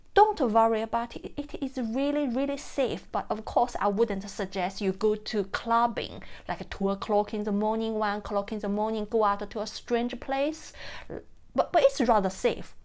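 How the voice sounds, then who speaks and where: A young woman from China with a Chinese accent, giving casual travel tips to camera from her sofa, chatty and prone to restarting her phrases. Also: medium pitch. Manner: chatty, repeats and restarts phrases, non-native grammar. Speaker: vlogger. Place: living room, seated on a leather sofa. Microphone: camera in front, framed from the chest down.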